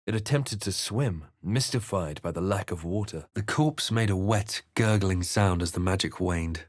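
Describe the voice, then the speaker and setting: A man voicing a grave, watchful fantasy hero in a video game, with measured, dramatic delivery. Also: sits low, dry recording, clean studio sound. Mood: grave, narrating. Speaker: video game player character voice actor. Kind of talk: scripted game narration and character lines.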